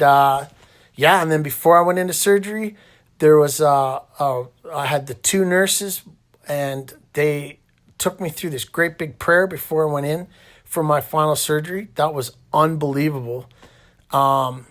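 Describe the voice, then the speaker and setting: A man's voice: fairly high pitch, steady tone, measured pace, fairly bright tone. A middle-aged man with a Canadian accent, talking casually and colloquially in a rambling storytelling way as he hosts. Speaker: interviewer and host recounting own hospital stay.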